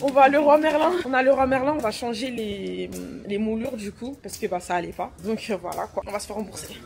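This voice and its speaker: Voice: medium pitch, quick pace, creaky, a crowd in the background. A vlog co-host chatting casually, with teasing critiques of the work.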